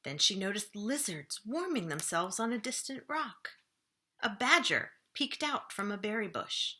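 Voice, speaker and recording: Bright-voiced woman reading a children's story. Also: mid-range voice, big pitch swings. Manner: dramatic pauses, slowing and hushing for suspense. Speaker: children's librarian reading aloud. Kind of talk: expressive children's storybook narration.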